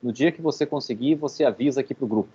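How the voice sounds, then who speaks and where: Quick, husky Brazilian man, muffled audio. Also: mid-range voice, dark tone. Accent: Brazilian Portuguese. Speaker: professor. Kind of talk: informal teaching feedback.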